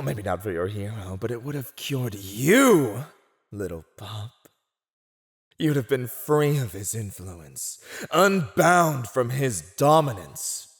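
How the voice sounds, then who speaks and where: A low, theatrical fantasy villain, who swoops widely in pitch as he taunts a captive. He is silver-tongued and condescending, drawing out his teasing words at an unhurried pace in the manner of an ASMR roleplay. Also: animated. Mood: gloating, scornful. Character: silver-tongued male fantasy villain, gloating, mocking captor. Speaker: voice actor.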